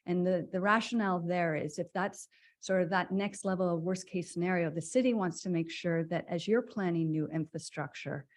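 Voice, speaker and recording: A woman on staff, explaining policy at a board meeting in a measured way with fillers. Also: fairly low pitch. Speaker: conservation authority staff.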